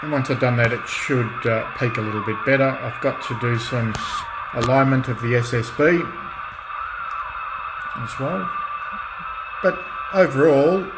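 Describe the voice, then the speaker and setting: A man gives a casual, hands-on walkthrough as a radio restorer. His voice sits at a middle pitch and he pauses often, thinking aloud as he goes. Clear radio noise and hiss sit behind him. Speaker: radio restorer and video host. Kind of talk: casual hands-on demonstration chat.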